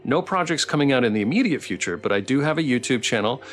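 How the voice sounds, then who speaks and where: A man's voice: mid-range voice, animated, quick pace. A man hosting a casual livestream chat, encouraging and appreciative as he talks and reads out messages. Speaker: stream host. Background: background music.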